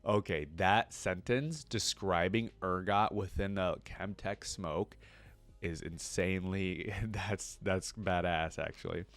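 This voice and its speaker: A man's voice: low voice, slow pace, a bit bright. A man hosting a reaction video, reading a story aloud and commenting casually as a fan. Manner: informal slangy fan talk.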